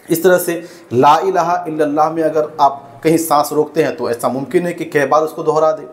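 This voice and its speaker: A man hosting a religious explainer, addressing viewers in a measured, didactic and cautioning way. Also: a little high, fairly even intonation. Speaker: host and religious commentator.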